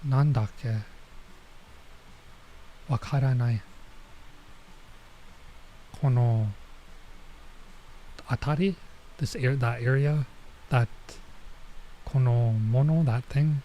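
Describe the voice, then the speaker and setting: Mellow, informal male gaming streamer. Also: mid-range voice, a little hiss or hum, low-quality recording. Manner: informal, halting, mutters to himself while translating. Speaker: streamer playing a visual novel. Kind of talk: casual let's play commentary, reading dialogue aloud.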